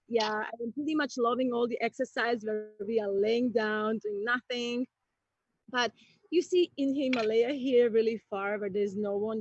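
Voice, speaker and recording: High-voiced woman from Croatia joking. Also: no echo. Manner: chatty, playful, slow deliberate delivery with bursts of excitement. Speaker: stand-up comedian. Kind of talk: stand-up comedy set streamed from home. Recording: dull lo-fi stream audio.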